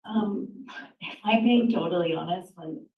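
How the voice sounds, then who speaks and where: A woman's voice: medium pitch, a little slow, narrow, tinny audio. A woman in her thirties, a prospective juror, answering questions in a candid, nervous, casual way. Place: large courtroom, indoors. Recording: echoey courtroom audio from far off.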